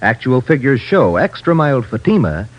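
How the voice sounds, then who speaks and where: A man's voice: medium pitch, sing-song, normal speed. A man announcing a scripted commercial in 1950s radio style. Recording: muffled old radio broadcast recording.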